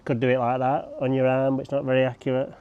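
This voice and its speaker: A man's voice: medium pitch, completely flat delivery, fast, smooth, dull audio. Rambling guy working a lawn. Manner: rambling, joking asides to camera. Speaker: lawn care vlogger. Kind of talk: casual chat while working. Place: outdoors on a lawn.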